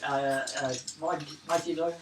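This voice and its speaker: A man with a fairly high voice, heard from a distance in a reverberant room. He chats casually at a tabletop game, feeling for his words as he goes. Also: fairly even intonation, normal speed. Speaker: tabletop role-playing game player. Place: around a gaming table in a room. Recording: noisy echoey room, distant mic.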